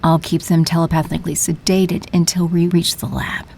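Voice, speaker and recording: Villainess for audio drama, female actor: cold, commanding woman, lowish voice, deliberate menace, faint rumble behind. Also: level tone, vocal fry, a little mellow. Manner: imperious, menacing, coolly deliberate. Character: cold, commanding villainess, telepathic leader of henchmen.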